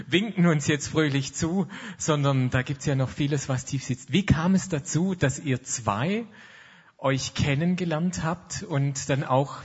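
Thoughtful male moderator, husky, in a reverberant hall, dull recording. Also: mid-range voice. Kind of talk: interview questions.

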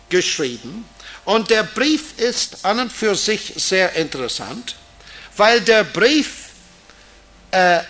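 Slow, high-pitched man teaching. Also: pushed and bright. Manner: deliberate, didactic, with rhetorical questions and emphasis. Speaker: Bible teacher. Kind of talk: Bible teaching talk to an audience.

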